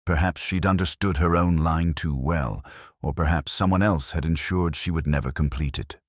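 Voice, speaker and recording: Very deep-voiced man narrating a mystery. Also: no room sound, clean studio sound. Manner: measured, brooding and deliberate. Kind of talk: first-person scripted mystery narration.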